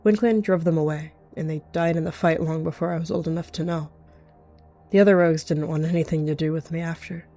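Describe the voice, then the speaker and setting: Scheming rogue cat played by a roleplayer, guarded and measured, animal roleplay over music. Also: medium pitch, fairly even intonation, dark tone, close to an everyday voice. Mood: somber. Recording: music behind the voice.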